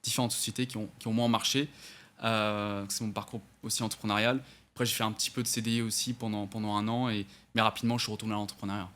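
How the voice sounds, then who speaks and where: A man's voice: lowish voice, little pitch movement, fast talker, fairly bright tone. A man in his twenties, raised in the United States and then France, answering interview questions as an entrepreneur, hesitant and full of fillers. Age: 20s. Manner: hesitant, many fillers and restarts, self-corrects. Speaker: entrepreneur guest.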